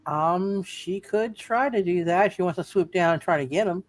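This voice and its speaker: A woman running an improvised tabletop roleplay as game master, halting and often repeating phrases as she narrates. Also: fairly low pitch.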